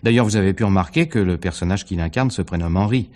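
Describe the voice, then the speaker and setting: A man playing a tactful, guarded theatre agent in a radio mystery, speaking in measured, articulate phrases. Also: low voice, darkish tone, close to an everyday voice. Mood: explanatory. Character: discreet, tactful male theatre agent under questioning. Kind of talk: 1950s French radio mystery acting.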